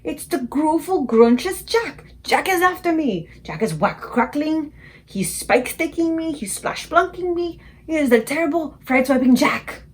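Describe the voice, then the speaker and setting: A woman's voice: mid-range voice, very animated, slow pace. A woman voicing a huge, terrified male giant who shrieks and pleads in mangled grammar. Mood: terrified shrieking.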